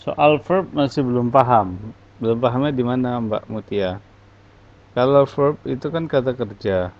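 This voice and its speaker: A man's voice: medium pitch, unhurried. Male teacher, easygoing Q&A, filler-heavy explaining, lo-fi muffled sound. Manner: relaxed, explains with many fillers. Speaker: English teacher. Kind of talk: casual online class Q&A.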